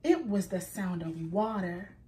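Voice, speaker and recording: A woman reading a children's picture book aloud in a slow, expressive storytelling manner. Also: lowish voice, recorded from a distance.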